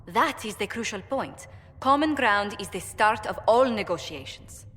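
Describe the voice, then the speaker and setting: Poised diplomat in a reverberant hall. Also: female voice, mid-range voice, animated, fairly bright tone. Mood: poised, explaining. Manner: formal, measured, diplomatic. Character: poised, courteous young female ambassador and diplomat. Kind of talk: video game dialogue acting. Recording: noisy, long hall reverb.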